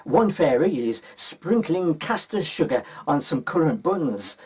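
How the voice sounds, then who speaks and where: A man with a husky voice reading a children's story aloud as a storyteller. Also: a little high, sing-song, unhurried, darkish tone.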